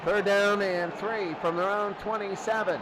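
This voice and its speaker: Male, high voice, football play-by-play, terse repeated calls, noisy recording. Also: steady tone, unhurried. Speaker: play-by-play sports announcer. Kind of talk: live American football commentary.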